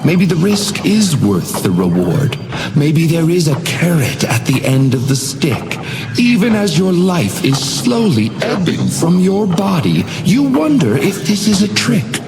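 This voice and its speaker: A man's voice: on the high side, sing-song, gravelly, full character voice. Sinister, swooping, echoing hotel doorman. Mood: playful goading. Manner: theatrical, taunting, measured, silky menace. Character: sinister, genteel hotel doorman tormenting guests. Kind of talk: video game character voice lines, monologue. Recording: echoing voice over music. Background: music.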